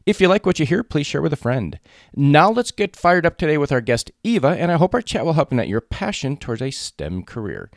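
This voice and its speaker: Upbeat guy hosting a podcast, bouncy lively voice, dry close sound. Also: on the high side, brisk, vocal fry, no room sound. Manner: upbeat, enthusiastic, animated intonation. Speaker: podcast host. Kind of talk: interview podcast.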